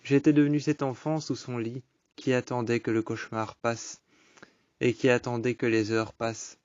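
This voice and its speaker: A man's voice: medium pitch, little pitch movement, moderate pace, darkish tone, no room sound, highs cut off. A man reciting dramatic spoken-word poetry in a solemn, melancholic, incantatory manner. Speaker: poetry storyteller.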